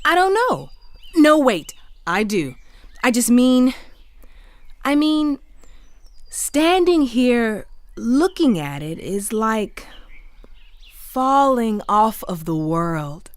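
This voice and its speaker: An impulsive young widow from the Civil War era in naturalistic audio drama. Her voice sits fairly high and swings widely in pitch. She takes her time, halting and searching for words with wistful emphasis. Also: sing-song, dry recording, exaggerated voice. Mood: earnest, wistful.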